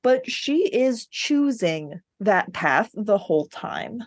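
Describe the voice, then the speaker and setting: A woman's voice: mid-range voice, big pitch swings, slow pace, no room sound. A woman co-hosting a book discussion podcast, chatting casually and arguing her opinions insistently. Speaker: podcast co-host.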